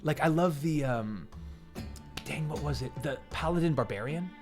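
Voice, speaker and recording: A man's voice: on the high side, animated, normal speed, darkish tone, soft background music. Enthusiastic man hosting a casual interview. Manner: enthusiastic, slangy. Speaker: interview show host.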